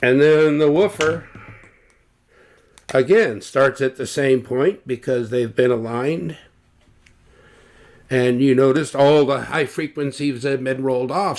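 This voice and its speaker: Older man, unhurried, technical lesson, deliberate with fillers, home webcam. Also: medium pitch. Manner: slow, deliberate, with fillers and restarts. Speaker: loudspeaker designer explaining measurements. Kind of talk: technical explanation with drawings. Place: home living room, indoors.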